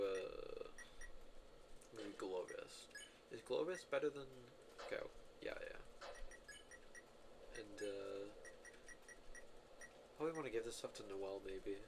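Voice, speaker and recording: Animated young-sounding man streaming a game. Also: fairly low pitch, noisy background. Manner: rambling, lots of filler words and self-corrections. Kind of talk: casual live-stream gameplay commentary. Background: game music.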